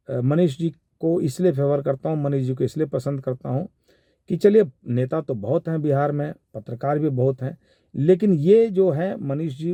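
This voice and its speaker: A man with a Bihari accent giving informal spoken commentary in a measured, halting, explanatory way. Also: fairly high pitch, creaky, dark tone, dry recording. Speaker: commentator giving his analysis of a viral call.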